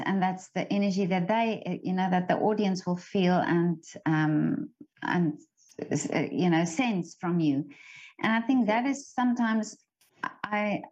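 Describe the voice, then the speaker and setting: Female interviewer, agreeable, hesitant delivery, mid-pitched, muffled lo-fi recording. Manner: warm agreeing, hesitant with many "um" and "you know". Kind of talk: casual interview talk. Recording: dull lo-fi recording.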